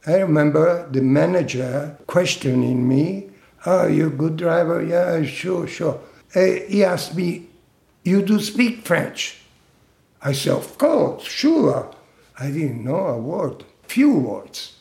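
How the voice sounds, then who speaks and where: An older man from Italy, slowly recounting his life in a halting oral-history interview. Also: on the high side, animated, gravelly, a little mellow, roomy sound. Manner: slow, halting storytelling with fillers and laughs.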